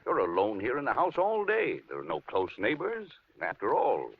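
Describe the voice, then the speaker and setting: An actor playing a worried, protective, good-natured lodger in a 1950s radio drama. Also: male voice, medium pitch, wildly swooping pitch, normal speed. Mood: worried, insistent. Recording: dull, noisy 1950s radio recording.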